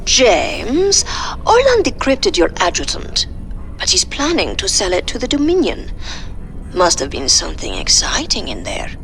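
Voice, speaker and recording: A woman's voice: medium pitch, huge pitch swings, unhurried, a bit bright, heavily acted. An actress playing a flirtatious, sly mercenary boss in a video game, coy and theatrical in her delivery.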